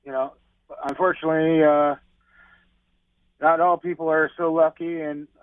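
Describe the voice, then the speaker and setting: A man's voice: fairly high pitch, flat delivery, moderate pace, clean tone, dry recording. A man calling in to a radio show and rambling chattily, full of "you know". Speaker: caller. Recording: tinny phone line.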